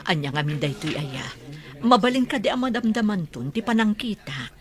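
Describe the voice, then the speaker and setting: A woman's voice: medium pitch, very animated, moderate pace, a crowd in the background, lightly acted. A woman with an Ilocano accent acting a tender, aging mother in a radio drama. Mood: puzzled, hesitant. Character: aging, tender mother moved by her son's gift.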